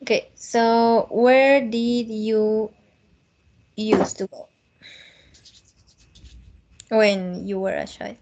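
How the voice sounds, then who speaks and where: A woman from northeastern Colombia with a Colombian accent, an English teacher on a video call who gently corrects students and mixes Spanish with English. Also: on the high side, little pitch movement, very slow, pauses often, dull audio, a little hiss or hum. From Norte de Santander, Colombia. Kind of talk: online English class.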